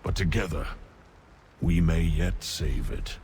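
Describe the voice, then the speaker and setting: An actor playing a solemn, ancient godlike leader in an epic fantasy game, speaking with deliberate command. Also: male voice, low-pitched, a little mellow, a little hiss or hum. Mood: commanding resolve. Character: grave, ancient godlike male leader of the dead. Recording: clear music bed behind voice. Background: game score music.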